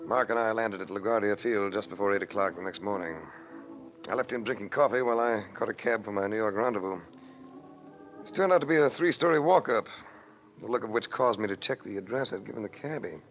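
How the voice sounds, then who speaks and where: An actor playing a wry, hard-boiled adventurer in a 1940s radio detective drama. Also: male voice, fairly low pitch, a little fast, soft background music, close to an everyday voice. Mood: wry narrating. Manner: dry, wisecracking. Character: wry, hard-boiled male adventurer telling his own story. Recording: noisy old radio broadcast recording.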